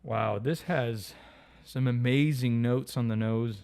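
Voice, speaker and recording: A man with an Italian accent, English not his first language, co-hosting a casual beer tasting, talking haltingly with many fillers and restarts. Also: mid-range voice, vocal fry, darkish tone. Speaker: beer reviewer, co-host.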